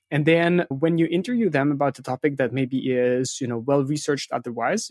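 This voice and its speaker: Explanatory man, mid-pitched, dry sound. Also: a little mellow, dry recording. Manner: explanatory, often checks with a tag "right?". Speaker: interview guest, content marketing firm founder. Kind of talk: conversational explanation in an interview.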